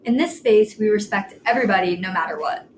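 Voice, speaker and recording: An eighteen-year-old woman speaking as a teen podcast host in an earnest, steady way. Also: mid-range voice, fast, slight background noise. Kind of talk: casual podcast talk.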